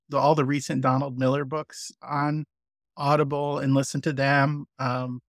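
A man's voice: medium pitch, no room sound, studio quality. A man hosting a podcast interview, chatting in a friendly way and often restarting his sentences. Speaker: podcast host.

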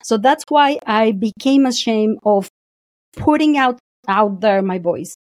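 Woman, podcast guest, expressive, fairly high pitch, dry close recording. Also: a little slow, no room sound. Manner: expressive, laughs often, acts out quoted voices. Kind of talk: casual podcast conversation.

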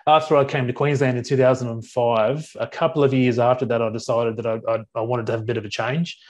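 An Australian man in his forties from Sydney, a workplace advisor talking casually as a guest. He speaks haltingly, with many restarts and ums. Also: medium pitch, level tone, a little mellow. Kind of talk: casual interview talk.

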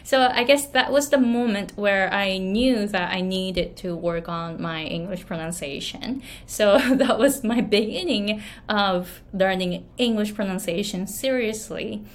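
Japanese-accented woman coach, unhurried friendly storytelling, frequent laughs. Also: medium pitch. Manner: laughs often, many fillers and restarts. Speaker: English pronunciation coach. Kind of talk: casual talk, storytelling tips.

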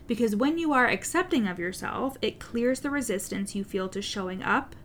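Earnest woman coach with faint rumble. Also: lively intonation, normal speed, a bit bright. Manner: earnest, direct, occasional swearing. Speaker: podcast host and business coach. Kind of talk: solo podcast monologue.

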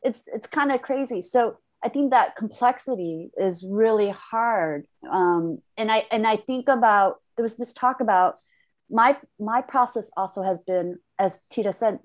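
Korea-born woman, guest on a discussion panel, hesitant and searching delivery. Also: medium pitch. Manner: thoughtful, halting, frequent restarts. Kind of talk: reflective conversation.

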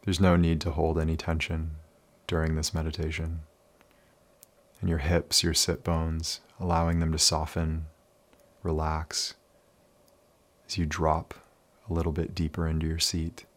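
A man leading a guided meditation in a calm, soothing, unhurried manner with many pauses. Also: very deep voice.

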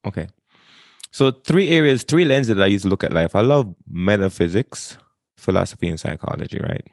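A man, talking as an interview guest in an energetic way, often repeating and restarting phrases. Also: on the low side, normal speed, no echo, clean studio sound. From Jamaica. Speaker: guest, wisdom coach. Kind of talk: casual interview talk.

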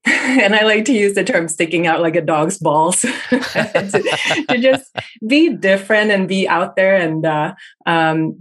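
A woman in her thirties, born in Finland and raised in Sweden, speaking English as a later language as an energetic podcast guest who laughs often. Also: on the low side. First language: Finnish or Swedish. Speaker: real estate investor, podcast guest. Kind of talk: casual interview.

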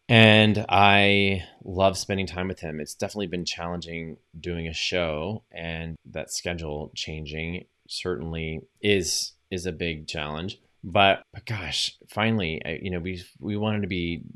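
Deep-voiced man hosting a chatty podcast. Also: fairly bright tone. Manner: upbeat, chatty, with fillers and self-corrections. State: recovering from lost voice. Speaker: podcast host and social media coach. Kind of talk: solo podcast monologue.

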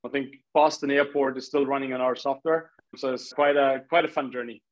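A man's voice: medium pitch, flat delivery, a little fast, muffled recording. A man from Austria with an Austrian accent, talking casually as a founder being interviewed on a podcast. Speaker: startup founder, podcast guest.

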